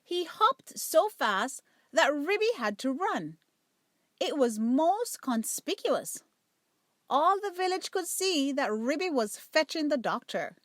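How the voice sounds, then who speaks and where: A woman reading a children's bedtime story aloud in expressive storybook narration. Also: high voice, slow pace, no room sound, clean studio sound.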